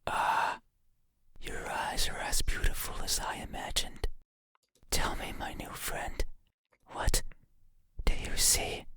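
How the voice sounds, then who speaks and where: A creepy, slow, whispering monster. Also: sharp tone, no echo. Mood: eerily tender. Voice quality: breathy whisper. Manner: slow, insistent, eerily deliberate. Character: creepy bedside monster, pleading, menacing, intimate whisperer. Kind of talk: horror game acting, ASMR-like whispering.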